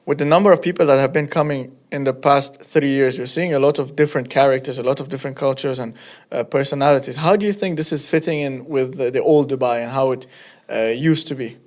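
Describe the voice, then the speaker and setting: A man's voice: medium pitch, fast, darkish tone. A man hosting a podcast, talking in a conversational style as he discusses and asks questions. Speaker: podcast host.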